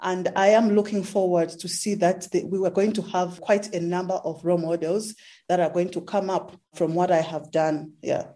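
A woman's voice: on the low side, steady tone, moderate pace, dark tone. A woman in her thirties with a Zambian English accent, answering interview questions in a conversational way. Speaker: interview guest, astrophysics researcher.

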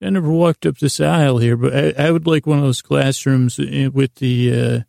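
Very gravelly, mellow American man, rambling soothingly. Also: medium pitch, steady tone, dry recording, studio quality. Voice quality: lulling, soothing tone. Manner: meandering, halting, digressive, self-deprecating. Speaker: bedtime podcast host. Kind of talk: rambling soothing sleep-podcast monologue.

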